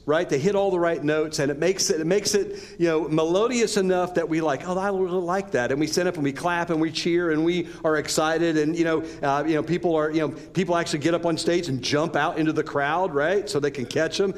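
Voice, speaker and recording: A man with an American accent giving a conversational sermon, repeating rhetorical questions and often checking in with his listeners. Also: fairly high pitch. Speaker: preacher.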